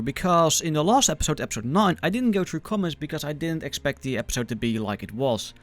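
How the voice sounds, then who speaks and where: A young man with a Dutch accent and a mid-pitched voice hosts a gaming video. He chats casually and with enthusiasm, happy to poke fun at himself. Faint music plays behind him. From Netherlands.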